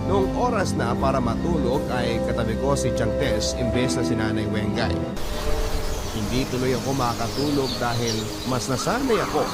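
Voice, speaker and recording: A man with a Filipino accent reading a scripted first-person story as a radio storyteller, in a sentimental, measured way with pauses. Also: medium pitch. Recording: loud music under the voice. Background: loud background music.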